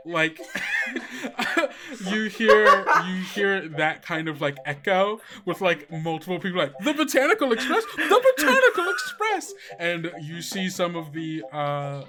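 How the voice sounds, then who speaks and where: A man narrating as game master of a tabletop game, rambling and loose with lots of fillers. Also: on the high side.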